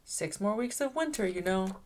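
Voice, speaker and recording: A woman voicing a friendly, reluctant little groundhog from a children's storybook, with a gentle, protesting manner. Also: mid-range voice, lively intonation, moderate pace, slight background noise, lightly acted. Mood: pleased, hesitant. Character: shy-at-first groundhog, friendly homebody of the burrow.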